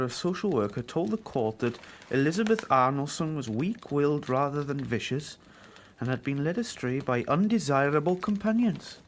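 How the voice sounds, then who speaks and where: Actor as sardonic husband with lively, high-pitched voice. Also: male voice, lightly acted. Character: grumbling, sardonic, contrary husband. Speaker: actor in radio play. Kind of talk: BBC radio drama acting. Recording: faint background noise.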